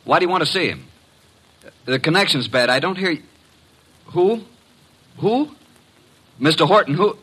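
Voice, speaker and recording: An actor playing a detective's wry, matter-of-fact assistant in an old-time radio mystery. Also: male voice, on the high side, expressive, moderate pace, long pauses, pushed and bright, husky, exaggerated voice. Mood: brisk, wry. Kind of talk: old-time radio drama acting and narration. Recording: vintage 1950s radio recording.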